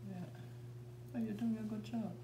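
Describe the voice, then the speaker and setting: An older woman hosting a cooking show, explaining each step in a relaxed, instructive way as she cooks in a large home kitchen. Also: medium pitch, moderate pace, very noisy. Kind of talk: casual cooking demonstration. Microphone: far camera mic. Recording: echoey kitchen from far off, clattering pans.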